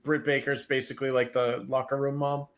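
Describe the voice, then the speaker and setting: A man's voice: mid-range voice, flat delivery, fairly quick. A middle-aged man giving casual, jokey wrestling watch-party commentary, swearing freely.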